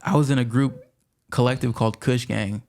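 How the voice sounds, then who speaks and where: A man's voice: mid-range voice, steady tone, moderate pace, a little mellow. A man in his twenties, a rapper from the Pacific Northwest, telling stories in slangy, laid-back podcast chat. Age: 20s. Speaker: rapper guest.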